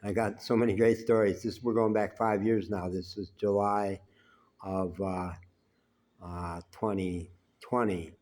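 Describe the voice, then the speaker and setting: A man telling personal stories in an unhurried, conversational way, pausing often. He has a naturally loud voice. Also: lowish voice, little pitch movement. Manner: unhurried and conversational, with frequent "uh" pauses. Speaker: vlogger telling life stories. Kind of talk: rambling personal storytelling.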